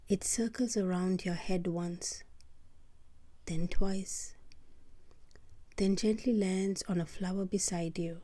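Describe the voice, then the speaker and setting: Woman doing kids sleep meditation, really slow n soft, dark mellow tone, breathy almost whispering, soothing. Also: fairly low pitch. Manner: soothing, gentle, unhurried pauses between short phrases. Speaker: host of a children's bedtime meditation show. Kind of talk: guided sleep meditation, soft scripted narration.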